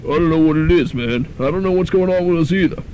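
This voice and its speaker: A man's voice: fairly high pitch, fast talker, warm tone, a little hiss or hum, close to an everyday voice, low-quality recording. A young man playing a blunt, offhand college jock in a student radio drama.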